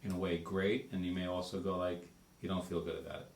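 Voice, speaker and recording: A man, a speech therapist, asking gentle, encouraging questions during a session. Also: low voice, flat delivery, fast talker, reverberant, slight background noise.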